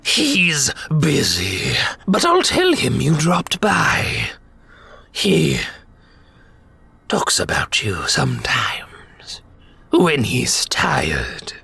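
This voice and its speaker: A man playing a sinister, gloating robot warlord in a fan dub, speaking with theatrical mock politeness in a husky, gravelly, breathy voice. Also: medium pitch, wildly swooping pitch, drawn-out words, pauses often, sharp tone, no room sound, heavily acted. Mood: smug, taunting. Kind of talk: scripted fan dub acting.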